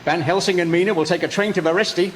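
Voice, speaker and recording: An actor playing a resolute man on a pursuit, giving brisk, declarative radio drama narration. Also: high voice, fairly even intonation, a little hiss or hum, close to an everyday voice. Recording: loud music under the voice.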